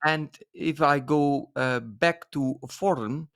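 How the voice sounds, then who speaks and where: A man with a Dutch accent, Dutch being his mother tongue, speaking English haltingly on a podcast and searching for words. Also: on the high side, a bit bright, dry recording, studio quality. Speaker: podcast guest, dance author. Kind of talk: casual conversation.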